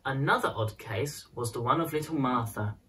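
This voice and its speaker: A woman reading a children's picture book aloud as a storyteller, with expressive, dramatized phrasing. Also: a bass voice, normal speed, low-quality recording. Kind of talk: scripted storybook narration in prose and verse.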